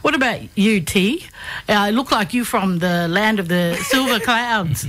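A woman's voice: mid-range voice, lively intonation, a little slow, a bit bright. A woman with an Australian accent hosting a conversational radio interview. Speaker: radio host.

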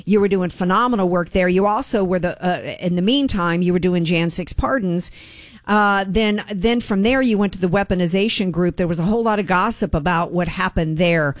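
A woman hosting a radio talk show, chatty and lively, with plenty of fillers. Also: lowish voice, fairly quick, dry recording. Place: radio studio.

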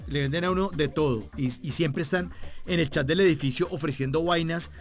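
A man with a fairly high voice and a Bogotá accent hosts a chatty broadcast interview. He is jovial and colloquial, often repeating a phrase to stress it. Some music plays along with his voice. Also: a little fast. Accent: Bogotá Colombian. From Bogotá, Colombia. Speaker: radio show host interviewing a guest.

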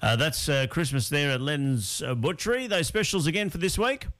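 Aussie male breakfast-radio host, laid-back, chatty, lots of "uh"s. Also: a little high. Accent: Australian. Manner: relaxed, with frequent fillers and pauses. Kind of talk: local radio birthday segment.

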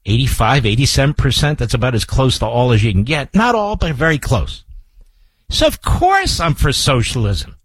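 A man's voice: medium pitch, slightly rough, dry recording. An older man with an American accent hosting a political talk radio show, emphatic and combative, repeating phrases to drive his point home. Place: radio studio. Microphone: close studio microphone.

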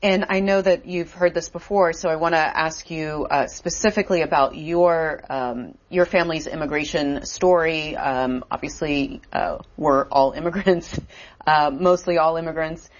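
A woman with a notably low voice hosts the interview, asking her questions haltingly with many fillers. The sound is dull and lo-fi. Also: fairly even intonation. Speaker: interview host. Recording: dull lo-fi audio.

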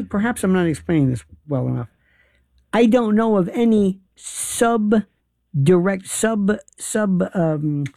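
A man hosting a casual conversation, talking in an animated, halting way with many restarts and fillers. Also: high-pitched, creaky, no echo. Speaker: host.

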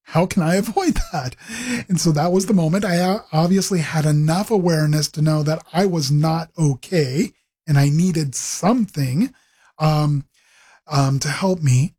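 A man's voice: fairly high pitch, a little slow, warm tone, slightly rough. A middle-aged man from the Pacific Northwest telling his life story in an interview, with frequent ums and restarts. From Pacific Northwest, USA. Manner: rambling with many fillers and restarts. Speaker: guest, ministry executive director.

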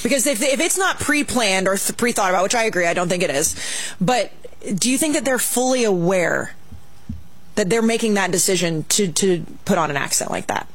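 A man with a Texas accent chatting casually on a panel, quick and talkative. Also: high voice, expressive, fairly bright tone, no room sound, poor audio.

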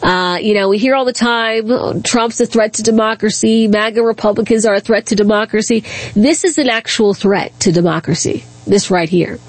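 A woman's voice: medium pitch, normal speed, dry recording, low-quality recording. A woman hosting a talk radio show, chatting casually with lots of fillers and restarts.

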